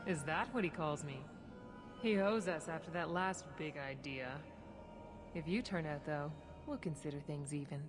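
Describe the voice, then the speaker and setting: A woman acts a shrewd smuggler boss from a video game. Her voice is low, cool and measured with a wry edge, set over loud music. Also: close to an everyday voice. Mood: businesslike. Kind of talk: video game dialogue acting.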